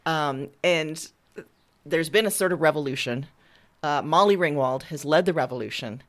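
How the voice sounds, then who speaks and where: A woman with a distinctly low voice speaks as a podcast co-host. Her talk is casual and unscripted, delivered with dry, mock-serious humor. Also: moderate pace.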